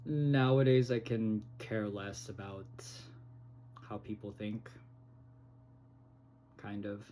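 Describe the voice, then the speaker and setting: A man talking to his phone camera in a casual vlog, halting and hesitant, with many pauses. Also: on the low side, background noise. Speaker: vlogger. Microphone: phone recording.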